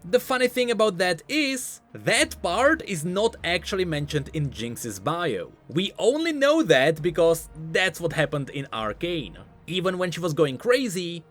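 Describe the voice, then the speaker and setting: A man's voice: on the high side, very animated, moderate pace, hardly any pauses, faint music behind. A man giving scripted, explanatory commentary on video game lore in an emphatic way.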